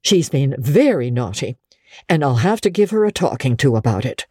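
A woman's voice: extremely low pitch, very animated, mellow, breathy edge, no room sound, studio quality, full character voice. A woman voicing a stern but fair guardian in a dramatic audiobook, speaking firmly and with restraint. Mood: measured reproach. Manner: firm, restrained, deliberate. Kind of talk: dramatic audiobook character acting.

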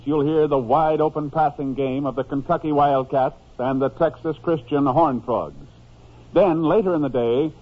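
A man's voice: on the high side, slightly rough. An American man making scripted announcements in 1950s radio style. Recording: dull old radio broadcast, music behind.

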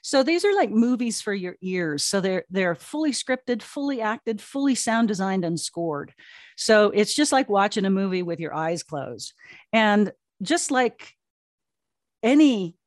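A woman's voice: medium pitch, very animated, measured pace, no room sound. Chatty older woman, close dry sound. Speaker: guest, audio drama producer and creative officer. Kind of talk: conversational interview.

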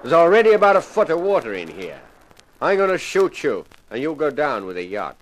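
An actor playing a courteous but coldly menacing yacht captain in a 1950s radio crime drama. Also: male voice, on the high side, very animated, brisk, rough recording.

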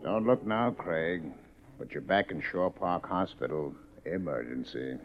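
An actor playing a dry, needling police lieutenant in a 1950s radio drama, with a deadpan manner. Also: male voice, deep voice, a little slow, soft background music. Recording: dull, faintly noisy 1950s radio recording.

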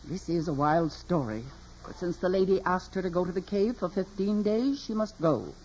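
A commanding, blunt aunt, speaks firmly in a husky, mellow voice. She is brisk and protective. It is a 1940s radio play with muffled sound. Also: fairly low pitch, lively intonation. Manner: firm, brisk, authoritative. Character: commanding, blunt, strong-willed aunt, protective of her niece. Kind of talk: 1940s radio drama acting. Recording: muffled old radio recording, music behind.

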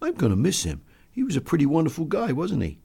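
Man acting a higher-voiced, blunt enlisted soldier. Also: expressive, a little fast. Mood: mournful, frank. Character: blunt, matter-of-fact enlisted army men addressing their superior.

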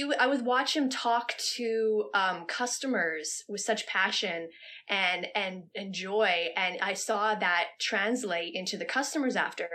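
An earnest woman with a Canadian accent answers an interview question in a long, rambling way. Her voice is bright and forward, and she restarts her sentences as her thoughts pile up. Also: medium pitch. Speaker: podcast co-host.

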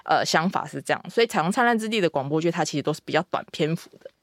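A woman's voice: low voice, lively intonation, very fast, no room sound. A woman with a Taiwanese Mandarin accent explaining things casually as a guest on a talk podcast. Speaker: guest, comics publisher editor.

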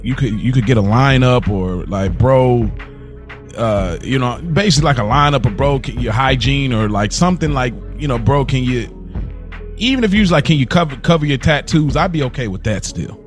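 A man's voice: medium pitch, expressive, moderate pace, soft background music. An American man co-hosting a podcast in casual chat, slangy and emphatic, often repeating himself for stress. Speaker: podcast co-host.

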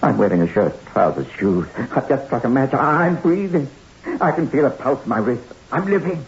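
An actor playing a bewildered, frightened castaway desperate to live, in an agitated 1960s radio horror style. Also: male voice, mid-range voice, lively intonation, moderate pace, natural voice. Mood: defiant disbelief. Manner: dramatic, agitated, pleading. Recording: noisy, muffled 1960s radio recording.

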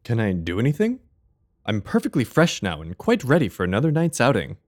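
A man playing an earnest, courteous Victorian gentleman, a detective's loyal companion, in an audio drama, with an eager, polite manner. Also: medium pitch, wildly swooping pitch, a little fast.

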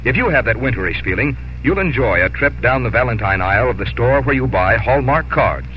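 A man's voice: mid-range voice, lively intonation, a little fast. A man announcing a scripted 1950s radio sponsor message in a folksy, conversational manner. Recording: dull, noisy 1950s radio broadcast.

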